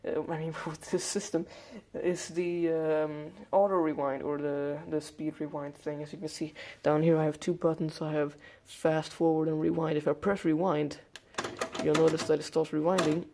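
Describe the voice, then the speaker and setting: Mellow, casual hobbyist demonstrating gear. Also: mid-range voice, flat delivery, normal speed, gravelly. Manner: informal, explaining step by step. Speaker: hobbyist video presenter. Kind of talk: casual hands-on gadget demonstration.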